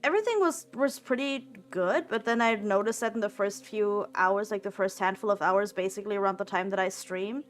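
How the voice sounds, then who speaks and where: A woman's voice: medium pitch, fairly quick, few pauses. Rambling young woman streamer, stumbling chat. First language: German. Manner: rambling, repeats words, many fillers.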